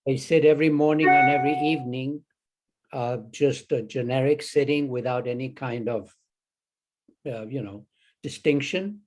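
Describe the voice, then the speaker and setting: An older man from Chile with a Chilean Spanish accent, a Zen teacher talking as an interview guest, halting with many restarts and fillers. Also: medium pitch, steady tone, heavy background noise. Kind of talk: casual interview conversation.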